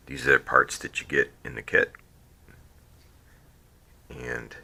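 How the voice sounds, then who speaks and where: A man with a cold talks through a hobby drone build at his workbench, his voice very low and gravelly yet with a bright, forceful edge. He sounds sniffly and croaky, rambling casually with frequent ums and half-finished restarts. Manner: rambling, many ums and restarts, trails off quietly. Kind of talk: casual how-to build video.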